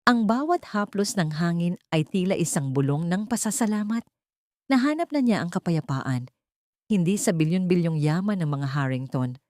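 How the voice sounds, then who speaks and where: A woman narrating a sentimental story from a script in a measured, solemn, poetic manner. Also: on the low side, dark tone, no echo, clean studio sound.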